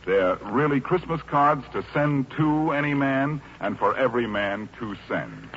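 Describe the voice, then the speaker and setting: A man's voice: mid-range voice, big pitch swings, a little slow, a little hiss or hum. An American man announcing and narrating in a polished, scripted 1940s radio style. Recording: muffled old radio recording.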